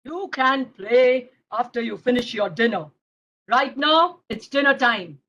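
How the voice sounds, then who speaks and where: A patient, firm storybook grandfather, acted by a woman in a high voice. He gives unhurried instructions to a child. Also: animated, fairly bright tone, muffled recording, slight background noise. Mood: coaxing, firm.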